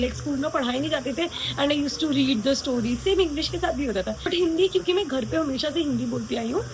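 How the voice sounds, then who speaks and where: A woman's voice: high voice, noisy background. A young woman talking to camera in a casual vlog, chatty and rambling, poking fun at herself. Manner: chatty, rambling, self-mocking. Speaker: vlogger.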